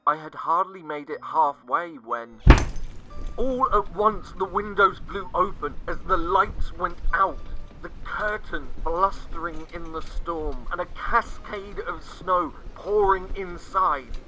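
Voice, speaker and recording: A man narrating a scripted first-person horror story, dramatic and sinking to hushed tones at the tense moments. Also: high-pitched, takes it slowly, low-quality recording. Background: faint music.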